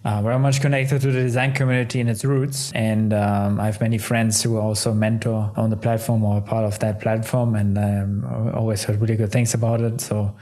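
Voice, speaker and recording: Mellow friendly man hosting. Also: lowish voice, little pitch movement, fairly quick, few pauses. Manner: friendly, hesitant, slightly nonnative phrasing. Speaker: podcast host. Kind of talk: interview hosting.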